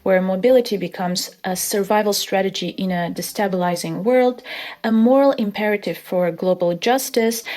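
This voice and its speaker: Earnest woman from Armenia explaining. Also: medium pitch. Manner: earnest, measured, with soft laughs. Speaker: video essayist. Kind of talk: scripted explainer with personal story.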